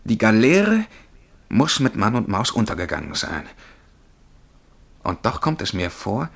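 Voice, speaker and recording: An actor playing a dreamy young clerk in a German radio play, telling his memories in a halting, dramatic way. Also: on the low side, huge pitch swings, a bit bright, exaggerated voice. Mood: dreamy recollection. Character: Charlie, dreamy young bank clerk recalling past lives.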